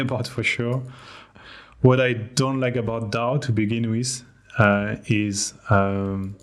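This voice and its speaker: A man from France with a French accent, a guest on a podcast, talking candidly with a self-deprecating humor. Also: on the low side. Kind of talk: casual podcast interview.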